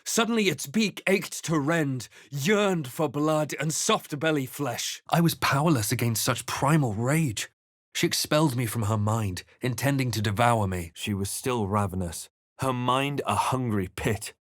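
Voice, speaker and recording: A man voicing a brooding, wry fantasy adventurer hero in a video game, with measured, dramatic delivery. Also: medium pitch, fairly bright tone, dry recording, studio quality, close to an everyday voice. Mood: grave, haunted. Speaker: video game player-character voice actor. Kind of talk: dramatic first-person fantasy game narration and voice lines.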